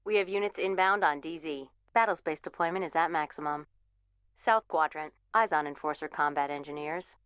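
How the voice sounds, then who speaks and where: A woman's voice: deep voice, normal speed, fairly bright tone, smooth, no room sound. A woman playing a composed military command operator, giving clipped, impersonal tactical announcements in a video game.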